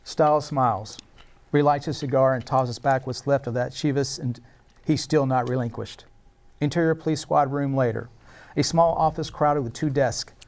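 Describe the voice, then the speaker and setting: Mid-pitched man narrating a screenplay. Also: fairly even intonation, normal speed, darkish tone. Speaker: narrator at a screenplay table read. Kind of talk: scripted dramatic narration of stage directions.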